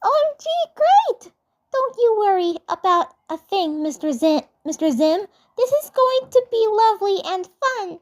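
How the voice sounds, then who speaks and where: A sweet little cartoon superhero girl, acted out by a woman, with a very high voice. She gushes affectionately and excitably, often saying a phrase twice over. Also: measured pace, full character voice. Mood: excited. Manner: gushing, repeats phrases.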